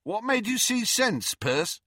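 Reader as a cheeky young man, mock-pompous, bright. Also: high-pitched, sing-song, slow pace, no room sound, studio quality, pitched above the performer's own voice, heavily acted. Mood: teasing.

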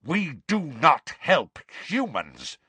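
A man voicing a proud, stern male centaur in a storybook, snarling and deliberate. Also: fairly low pitch, big pitch swings, dry recording, exaggerated voice.

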